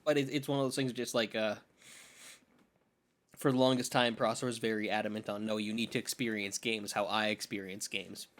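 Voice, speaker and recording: A man's voice: fairly low pitch, level tone, a little fast, fairly bright tone. A man co-hosting a podcast, chatting casually and giving his opinions in a conversational way. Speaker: podcast co-host.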